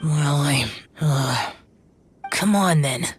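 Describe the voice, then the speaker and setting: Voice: medium pitch, drawn-out words, noisy background. An actor playing an ancient, stoic demon-slayer spirit in a video game, formal and grave. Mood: aloof, reluctant. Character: ancient youthful-looking male demon-slayer spirit, aloof, stoic, solemn.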